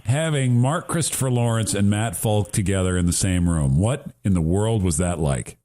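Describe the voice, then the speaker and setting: A man's voice: fairly low pitch, lively intonation, normal speed, mellow. A man hosting a casual comedic interview, teasing playfully and reacting with mock seriousness. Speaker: radio show host, interviewer.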